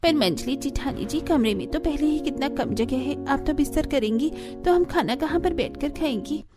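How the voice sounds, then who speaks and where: A woman's voice: sits high, expressive, normal speed, music in the background, pitched above the performer's own voice. A woman voicing a dutiful young village daughter-in-law in a family cartoon, polite, earnest and practical. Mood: polite, soothing. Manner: deferential, earnest, pleading. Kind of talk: Hindi family cartoon voice acting.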